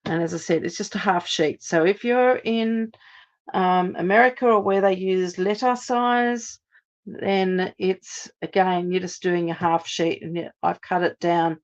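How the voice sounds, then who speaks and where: A woman from Australia, a little hoarse after laryngitis, giving a chatty step-by-step live card-making demonstration at her craft table. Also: fairly low pitch, a little slow, muffled recording. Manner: chatty, steady step-by-step instructions.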